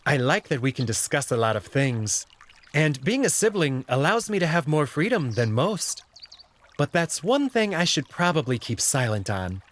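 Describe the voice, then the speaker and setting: A man's voice: on the high side, very animated, normal speed. A man voicing a friendly, well-spoken alien servant in a visual novel, explaining things politely. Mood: confiding. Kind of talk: visual novel character reading.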